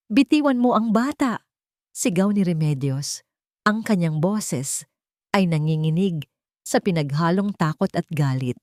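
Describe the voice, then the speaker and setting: Lady storyteller reading a dramatic tale, lowish voice, really dry recording. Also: a little mellow, no room sound. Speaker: story narrator. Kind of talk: dramatic scripted story narration.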